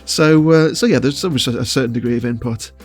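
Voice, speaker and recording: A man's voice: mid-range voice, quick pace, husky, faint music behind. A man giving casual interview answers as a voice actor, choosing his words with hesitation and often chuckling.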